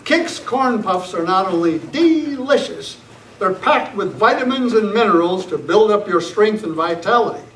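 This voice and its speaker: Guy doing old timey radio announcer for kids, high voice, upbeat, echoey meeting room. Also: lively intonation, normal speed, fairly bright tone. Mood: upbeat selling. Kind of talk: 1940s children's radio serial narration and sponsor pitch. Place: club meeting room, far camera. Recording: echoey, distant camera sound, faint noise.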